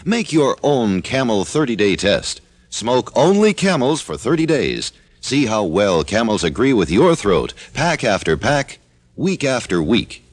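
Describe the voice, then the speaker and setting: An American man reading a scripted old-time radio commercial with emphatic, measured salesmanship. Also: medium pitch, big pitch swings, a little hiss or hum. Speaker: radio advertisement announcer.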